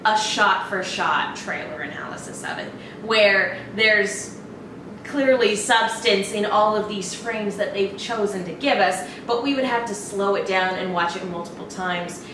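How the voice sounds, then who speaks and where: A woman chats informally as the host of a reaction show, with a middling pitch. She is recorded at a distance in an echoey room, with faint noise behind her. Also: unhurried. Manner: informal and chatty, laughs often. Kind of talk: casual film review chat.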